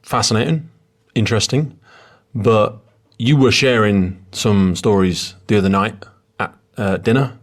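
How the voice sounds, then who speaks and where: Deep-voiced man hosting a podcast. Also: unhurried. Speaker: podcast host. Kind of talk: scripted podcast introduction and interview.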